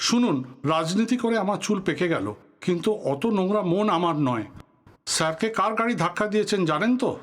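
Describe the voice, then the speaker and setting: High-voiced, commanding male politician. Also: lively intonation, fairly quick. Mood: indignant. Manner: commanding, indignant, formal. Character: touchy, authoritative veteran male politician, greying. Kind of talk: Bengali audio drama acting.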